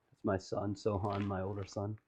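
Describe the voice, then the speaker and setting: A middle-aged man talking through his own music video, slow and wistful. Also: completely flat delivery. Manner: low-voiced, slow and wistful. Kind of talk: reflective commentary.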